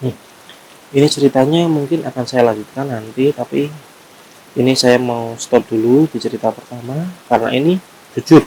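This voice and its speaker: A man's voice: mid-range voice, normal speed, warm tone, a little hiss or hum, rough recording. A man with a Javanese accent reading a horror story aloud unrehearsed, with small slips and restarts, then chatting casually to his listeners. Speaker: horror story reader and channel host.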